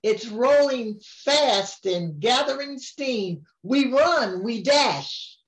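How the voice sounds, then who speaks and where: An older woman reading a rhyming picture book aloud in a gentle, unhurried way, often chuckling. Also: fairly high pitch, lively intonation, low-quality recording.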